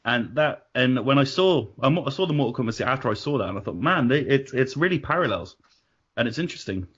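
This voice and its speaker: A man's voice: mid-range voice, lively intonation, fast talker, a bit bright, slightly rough. A man hosting a casual panel, talking in a chatty, hesitant way with plenty of ums. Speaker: podcast host. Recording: dull lo-fi sound.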